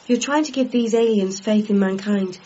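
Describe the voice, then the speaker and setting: A woman's voice: medium pitch, normal speed, warm tone, natural voice. An actress playing a devoted, patient girlfriend in a radio comedy drama, coaxing and reassuring. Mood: gentle, reassuring. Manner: reassuring, pleading. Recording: muffled, noisy ambience behind.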